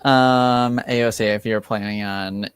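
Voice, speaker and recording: A man narrating a tabletop roleplaying game as game master, halting and pausing between phrases. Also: on the low side, steady tone, clear, resonant tone, soft background music.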